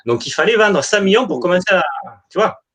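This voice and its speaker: A man debating casually as a football supporter, hesitant and halting, often repeating himself. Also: sits high, animated, fairly bright tone. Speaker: football supporter in a live online fan debate.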